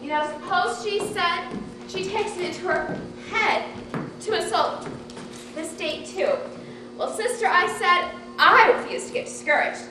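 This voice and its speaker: A woman's voice: extremely high pitch, a little slow. A woman playing a talkative, fussy, exasperated housewife in a stage comedy, with a chatty, dramatic, self-important way of talking. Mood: self-satisfied. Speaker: actor in a student one-act play. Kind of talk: live stage comedy acting. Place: theatre stage, distant microphone. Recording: distant echoey stage recording, noisy.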